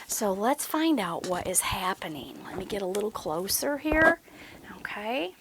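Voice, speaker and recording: A woman's voice: medium pitch, big pitch swings, a little slow, creaky, very noisy. A woman hosting a children's story time, reading aloud and chatting encouragingly with simple questions for young listeners. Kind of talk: children's read-aloud and chat.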